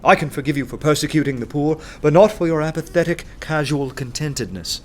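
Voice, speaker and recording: Wry, cultured gentleman detective played by an actor, music behind. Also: on the high side, lively intonation, fairly quick, lightly acted. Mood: scornful. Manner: articulate, dry, theatrical. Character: wry, cultured artist turned undercover detective. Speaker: actor in a radio play. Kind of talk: dramatised first-person narration and dialogue. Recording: music or ambient noise behind.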